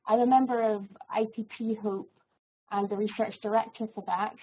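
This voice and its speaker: Lecturer woman with husky voice, tinny line. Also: medium pitch, steady tone. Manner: friendly, measured, with soft laughs and fillers. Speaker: university lecturer giving a webinar. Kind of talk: online teaching webinar. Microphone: video call microphone. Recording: tinny phone-like audio.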